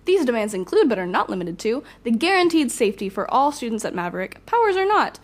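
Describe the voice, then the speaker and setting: A woman playing a smooth-talking young supervillain in an audio drama, composed, wry and mock-polite. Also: on the high side, sing-song, quick pace. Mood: slyly threatening. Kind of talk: audio drama villain acting.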